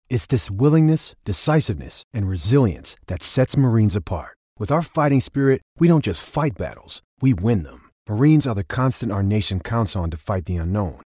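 A man's voice: lowish voice, darkish tone, slightly rough, no room sound, clean studio sound. A man narrating a recruitment commercial in a grave, resolute tone.

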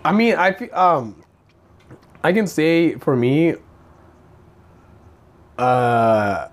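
A man's voice: on the high side, very animated, extremely slow. A man with a slight lisp chatting casually, peppering his slangy talk with "dude" and "man". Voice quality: slight lisp from a bitten tongue. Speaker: stand-up comedian. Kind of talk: casual chat.

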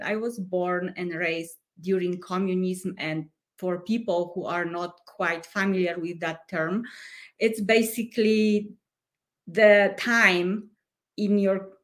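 A woman from Poland with a Polish accent, a life coach and podcast guest who speaks in a thoughtful way and often searches for words. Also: fairly low pitch. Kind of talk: podcast interview.

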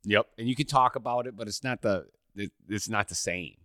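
A man hosting a hunting podcast, chatting in a relaxed way with lots of fillers and restarts. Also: lowish voice, brisk, no echo, studio quality. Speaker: podcast host. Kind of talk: casual podcast conversation.

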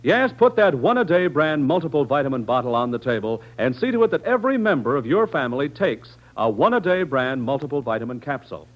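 A man's voice: mid-range voice, very animated, brisk, hardly any pauses. An American man announcing in the scripted style of a 1940s radio commercial. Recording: dull lo-fi 1940s radio broadcast.

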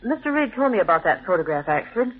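An assertive young secretary in a vintage radio play. Her delivery is crisp and sure, with animated intonation. The recording is narrow and tinny. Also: mid-range voice, quick pace. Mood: earnest. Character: smart, assertive young female office secretary. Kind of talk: old-time radio drama acting.

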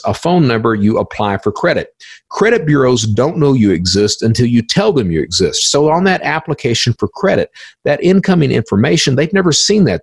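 A man's voice: mid-range voice, gravelly, husky, no echo. A man hosting a podcast interview, explaining things methodically, step by step.

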